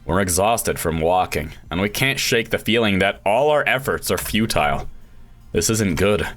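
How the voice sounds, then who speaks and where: A low-pitched, brooding young man of science as a man acts him out in a visual novel. He talks in dramatic, introspective monologue, full of self-doubt and a quick temper. Faint music plays behind him. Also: lively intonation, fairly bright tone. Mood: weary. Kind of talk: visual novel narration read aloud.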